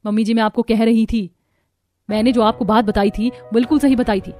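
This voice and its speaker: Woman as quick, fretful young Indian daughter-in-law. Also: on the high side, steady tone, mellow, faint music behind, close to an everyday voice. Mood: earnest, concerned. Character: anxious, fed-up young daughters-in-law in a family cartoon. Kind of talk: animated moral-story voice acting.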